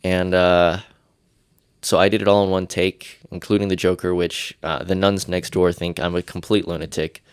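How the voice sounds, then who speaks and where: A man's voice: sits low, level tone, normal speed, smooth, no echo, clean studio sound. A man hosting a solo podcast, congested from his sinuses, rambling and joking at himself. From Irish. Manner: rambling, self-deprecating, jokey. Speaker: podcast host.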